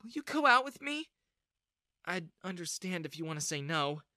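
A man's voice: sits high, big pitch swings, moderate pace, bright, forceful tone, no echo, studio quality, voice pushed up, exaggerated voice. A man doing an outspoken young woman. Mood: tender. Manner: indignant, earnest.

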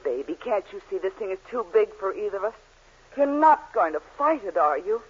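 Gushing, flirty gold-digger, swooping pitch. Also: female voice, big pitch swings, husky. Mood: seductive, gushing. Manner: coy, cooing, drawn-out endearments. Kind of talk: 1940s radio comedy acting. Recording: muffled, scratchy 1940s radio recording.

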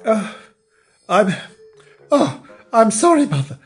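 A man's voice: high voice, lively intonation, moderate pace, a little mellow, faint music behind, voice pushed up. A man voicing a sulky, hen-pecked grown son who fancies himself a villain, flustered and affected, in a storybook reading.

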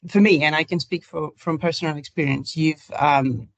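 Woman, podcast interviewer, lower-pitched voice, hesitant phrasing, conversational questions, dry sound with no room echo. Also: steady tone, normal speed.

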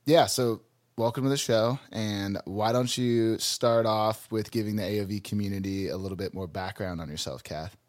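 Upbeat low-voiced male podcast host. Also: moderate pace, darkish tone. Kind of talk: podcast interview.

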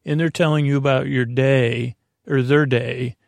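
A man with a gravelly, creaky voice talks in a loose, meandering way, tripping over words as he goes. He sounds easygoing and companionable, like a friend chatting on speakerphone. The recording is close and dry. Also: medium pitch, steady tone, measured pace, a little mellow, no room sound. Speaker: sleep podcast host. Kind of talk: casual rambling monologue.

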